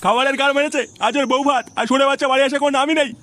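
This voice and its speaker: A man voicing a bad-tempered, foul-mouthed father in a comedy cartoon, nagging and threatening in a pitched-up voice. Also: steady tone, racing, sharp tone, no echo. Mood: angry.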